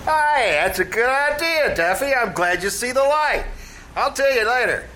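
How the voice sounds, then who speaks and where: An actor playing a scheming, wisecracking tavern manager in an old-time radio comedy, with eager, folksy banter. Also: male voice, very high-pitched, animated, moderate pace. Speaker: amateur radio troupe actor. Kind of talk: old time radio comedy acting before a live audience. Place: live radio theater stage. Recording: noisy live theater stage recording.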